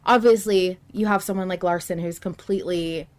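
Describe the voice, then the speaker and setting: A woman co-hosting a podcast in casual fan discussion, speaking emphatically and quick to laugh. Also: on the low side, fairly even intonation, a little mellow. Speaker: podcast co-host.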